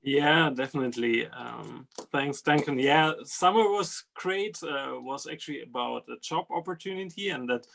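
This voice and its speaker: A man in his thirties talks on a video call. His voice is gravelly. He sounds upbeat but halts now and then. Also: medium pitch, a bit bright, slight background noise. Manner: upbeat, slightly halting. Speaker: alumnus.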